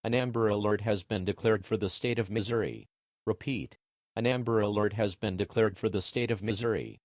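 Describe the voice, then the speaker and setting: A man voicing an impersonal emergency alert announcer in a formal, measured, official manner. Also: on the low side, steady tone, a little mellow, dry recording, natural voice.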